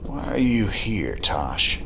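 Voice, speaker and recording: An actor playing a weary, plainspoken rebel commander with a drawl. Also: male voice, on the low side, sing-song, slow pace, vocal fry, noisy background, full character voice. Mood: laconic. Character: weary rebel male commander, dry and laconic.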